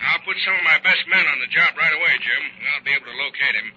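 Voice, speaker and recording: An actor playing a brisk, plain-spoken police inspector with a raspy voice in an old-time radio crime drama. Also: male voice, fairly high pitch, highs cut off, noisy background, lightly acted. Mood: businesslike.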